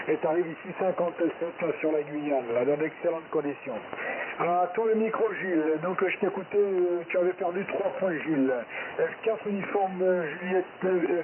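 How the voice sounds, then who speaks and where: Higher-voiced man on hissy, noisy shortwave radio. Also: phone-quality sound. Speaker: amateur radio operator. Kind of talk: casual radio relay chat with call signs.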